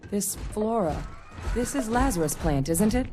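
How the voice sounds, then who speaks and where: An actress playing an excitable scientist woman in a video game, full of awe and alarm at discoveries, with music underneath. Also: mid-range voice, measured pace, noisy background, lightly acted. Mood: awed.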